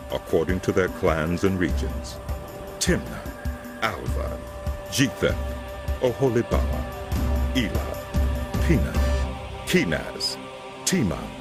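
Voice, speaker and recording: A man giving dramatic, scripted Bible narration in a solemn, deliberate manner. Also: deep voice, creaky. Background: music.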